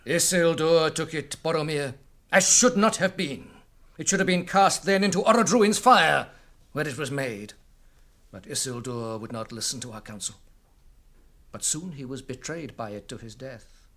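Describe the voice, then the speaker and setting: An actor playing a wise, grave elven lord with a measured, authoritative manner in a radio drama. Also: male voice, on the high side, fairly bright tone.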